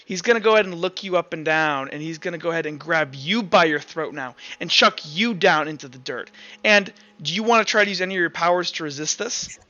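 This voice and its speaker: A man running a tabletop game as game master, narrating in a casual, halting, conversational way. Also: on the high side, pushed and bright, no echo, muffled recording.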